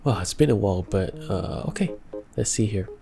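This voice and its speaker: A young man giving a casual music production tutorial from a home studio, chatty and relaxed, laughing between steps and using plenty of fillers. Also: on the low side, brisk, vocal fry. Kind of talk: casual screen-recorded tutorial.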